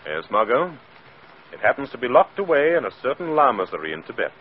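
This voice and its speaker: Affectionate, assured male sleuth , actor, 1940s radio play, medium pitch with lively swings, dull old recording. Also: fast, natural voice. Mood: cheerful, assured. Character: suave, cheerful gentleman sleuth, affectionate and self-assured. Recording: muffled, faintly noisy old radio.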